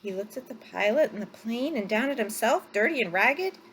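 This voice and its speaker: A woman narrating a story aloud from a script, phrasing it deliberately for drama. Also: a little high, lively intonation, a bit bright, a little hiss or hum, rough recording.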